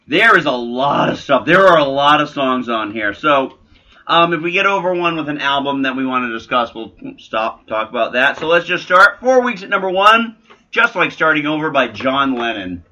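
Bright, animated male talk show host. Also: on the high side, moderate pace, highs cut off. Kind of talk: casual comedic music-countdown chat.

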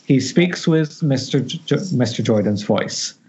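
A man reads stage directions from a horror script in a medium-pitched voice. He stumbles over phrases and corrects himself as he narrates. The sound is slightly muffled, as from an internet call. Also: expressive, measured pace, a little mellow. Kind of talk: reading a horror screenplay aloud at amateur table read.

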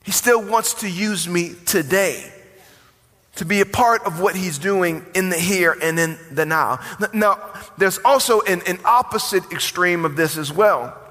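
A forty-year-old man preaching in a high, bright voice, his words building in emphasis and calling out to the congregation. His sermon rings through a church sanctuary with a long hall reverb trailing behind it. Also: a little slow. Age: 40s. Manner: preaching with rising emphasis and call-and-response. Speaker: pastor.

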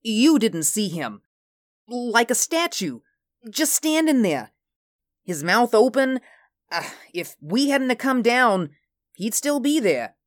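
A woman's voice: mid-range voice, big pitch swings, a little slow, no echo, clean studio sound, voice pushed up. A woman voicing an agitated, anxious male spaceship crewman in a story, urgent and emphatic. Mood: alarmed. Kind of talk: storybook character acting.

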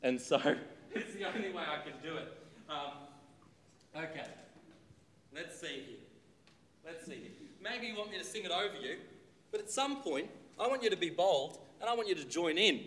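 A man's voice: a little high, animated, moderate pace, pauses often, a bit bright, big-room sound, slight background noise. A man, a pastor, talking casually and with self-deprecating humor to his congregation in a church before leading a sung creed. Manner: chatty, self-deprecating, joking about his own singing. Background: acoustic guitar playing.

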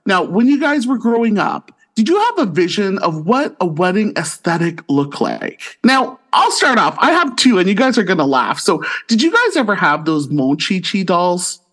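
High-voiced chatty Canadian man. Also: moderate pace, hardly any pauses. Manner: chatty, animated storytelling. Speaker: podcast co-host. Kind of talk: casual chat.

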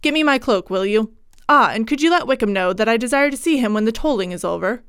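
A woman voicing a male country clergyman in a storybook reading, formal, brisk and authoritative. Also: on the high side, lively intonation, fairly bright tone, clean tone, no room sound, studio quality. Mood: grave, businesslike.

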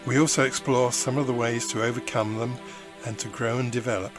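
A man's voice: mid-range voice, flat delivery, fairly quick. A man co-hosting a podcast, giving the show opening and asking a guest polite, courteous questions. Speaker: podcast co-host. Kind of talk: podcast intro and interviewing. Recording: dry voice with faint music behind.

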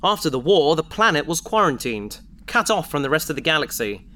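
A man's voice: fairly high pitch, sing-song, fairly quick, sharp tone. A man playing an earnest, bookish, idealistic space adventurer, explaining with enthusiasm in a sci-fi audio drama. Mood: thoughtful.

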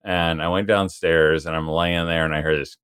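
A man's voice: low voice, steady tone, moderate pace, a bit bright, clean tone, no echo. A man co-hosting a casual podcast, telling stories with frequent laughs and playful quoted voices. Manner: chatty storyteller, laughs often, acts out quoted voices. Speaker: podcast co-host.

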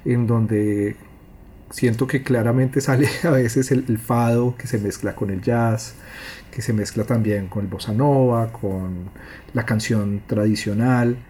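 A man's voice: medium pitch, fairly even intonation, measured pace, dark tone, slight background noise. A man hosting an interview, asking long, winding questions. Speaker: interviewer and host.

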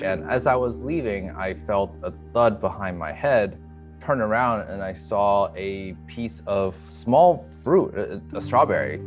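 A young man with a fairly low voice shares a personal account. He is earnest and reflective, choosing words with care. Instrumental music plays clearly under his speech. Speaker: emergency physician, interview guest.

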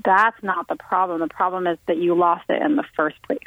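Chatty woman guest, low voice, heard over a thin phone line. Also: fairly even intonation, fairly quick, no room sound. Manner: chatty, frequent ums and restarts. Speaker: guest singer. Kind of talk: casual interview chat. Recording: tinny phone-line audio.

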